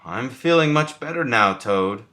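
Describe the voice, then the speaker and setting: A man's voice: mid-range voice, animated, measured pace, bright, forceful tone. A man voicing a friendly, curious male frog from a storybook, with a lilting, inquisitive way of talking. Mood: relieved.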